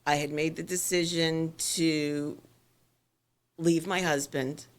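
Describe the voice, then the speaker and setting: A middle-aged woman from South Florida with a Florida accent and a New York twang, candidly telling her story as a podcast guest, halting with many ums. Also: low-pitched, fairly even intonation.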